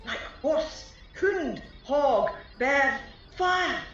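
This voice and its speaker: A high-voiced mischievous sprite played by a woman taunts in slow rhymed verse with menacing relish. It is Shakespearean radio drama, heard distant and drenched in echo. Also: expressive, fairly bright tone, heavily acted. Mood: gleeful menace. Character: gleeful mischievous shape-shifting sprite taunting mortals. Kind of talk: Shakespearean radio play acting. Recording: distant, very echoey, dull audio, music behind.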